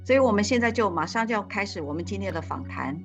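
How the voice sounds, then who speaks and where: A woman's voice: medium pitch, expressive, fast, vocal fry, faint music behind. A woman interpreting live, speaking with fillers and frequent restarts.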